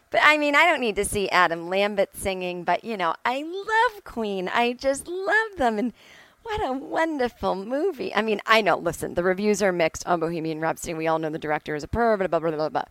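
A woman's voice: medium pitch, animated, moderate pace, a bit bright, no echo. Blunt, sweary middle-aged woman podcaster. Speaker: solo podcast host. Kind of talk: casual opinionated monologue, ranting. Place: at home.

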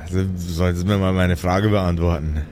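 A man voicing a gruff, suspicious and threatening armed prison guard in an improvised audio role-play. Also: sits low, fairly even intonation, normal speed, darkish tone, a little hiss or hum, pitched below the performer's own voice, close to an everyday voice. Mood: stern, suspicious. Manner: demanding, intimidating.

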